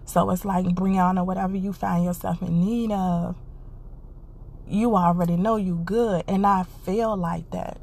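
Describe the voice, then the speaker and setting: A woman's voice: lowish voice, fairly even intonation, a little slow, creaky, darkish tone, husky. A woman hosting a podcast, giving an earnest, encouraging casual monologue and repeating her phrases, congested and stuffy. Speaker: podcast host.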